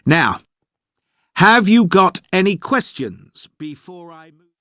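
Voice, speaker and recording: An organised tour leader, courteous and measured, with a fairly high, lively voice. He explains arrangements politely in a scripted briefing, recorded close and dry. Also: dry recording, full character voice. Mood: polite, informative. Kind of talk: scripted informational monologue for an English listening test.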